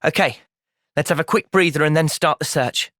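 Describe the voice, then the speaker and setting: An actor playing an earnest, thoughtful young male hero in a fantasy video game, speaking sincerely and clearly. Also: a little high, moderate pace, a bit bright, no room sound, clean studio sound, lightly acted. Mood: calm, measured. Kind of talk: video game cutscene voice acting.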